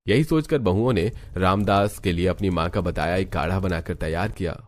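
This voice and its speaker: A man's voice: low voice, moderate pace. A man reading scripted narration for a family cartoon story.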